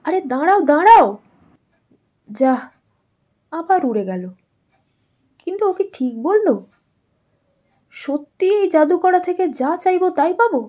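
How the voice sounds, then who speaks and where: A woman's voice: very high-pitched, expressive, a little slow, pauses often, dark tone. A woman playing a worried, loving village mother of young children in a dramatized doll story. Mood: astonished. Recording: muffled audio with faint music.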